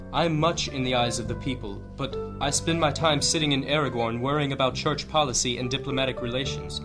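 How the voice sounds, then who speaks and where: An actor playing a grave, earnest church leader, speaking in measured, oratorical fashion in a fantasy audio drama. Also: male voice, mid-range voice, lightly acted. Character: solemn male high church leader, earnest and weighty.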